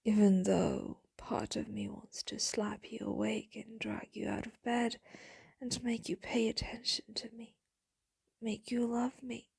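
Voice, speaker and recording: A woman playing a tender, melancholy vampire lover in an intimate ASMR roleplay, speaking in a hushed, breathy, doting way. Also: a little high, level tone, exaggerated voice. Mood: aching, wistful. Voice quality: breathy, whispery. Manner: slow, hushed, doting, wistful.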